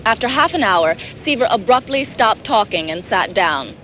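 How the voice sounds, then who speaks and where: An actress playing a sober, measured TV news reporter delivering a scripted report in a 1980s drama. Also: female voice, medium pitch, lively intonation, close to an everyday voice. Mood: grave, urgent. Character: serious female TV field reporter narrating crisis. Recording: loud background noise, 1980s broadcast report.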